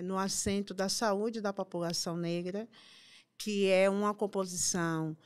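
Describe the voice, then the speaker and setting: A woman's voice: mid-range voice, clean tone. Middle-aged Brazilian woman introducing herself. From Salvador, Bahia, Brazil. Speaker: podcast guest, health activist. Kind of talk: self-introduction in an interview. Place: small podcast studio. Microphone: studio microphone on boom arm.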